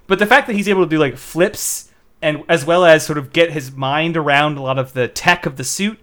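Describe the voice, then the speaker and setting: A man's voice: fairly high pitch, brisk, a bit bright. Rambling young man reviewing a movie. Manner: rambling, restarts sentences, many "like"s. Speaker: podcast co-host. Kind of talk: casual movie review chat.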